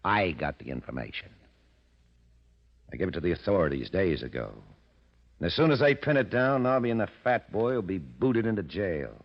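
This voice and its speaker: An actor in 1940s radio style playing a calculating, ambitious gangster boss, cool, boastful and menacing. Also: male voice, deep voice, heavily acted. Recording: muffled old radio drama recording.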